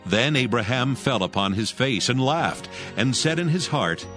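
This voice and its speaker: A man narrating scripture from a script in a measured, formal manner. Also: mid-range voice, lively intonation, fairly bright tone, soft background music.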